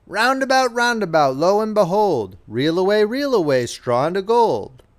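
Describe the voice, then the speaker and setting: Man putting on a high-pitched sly little hobgoblin. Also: big pitch swings, normal speed. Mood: gleeful singsong. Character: droll little male hobgoblin, sly, bargaining trickster. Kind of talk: storybook character voice in a fairy-tale reading.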